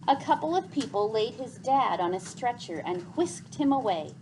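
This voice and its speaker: A woman narrates a children's novel aloud in an expressive, deliberate, dramatic storybook style, as scripted reading. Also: on the high side, background noise.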